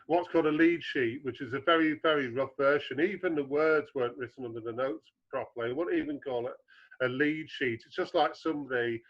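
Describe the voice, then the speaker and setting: A man with a low baritone voice, a guest author in a podcast interview, explaining at length with homely comparisons. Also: fairly quick, highs cut off.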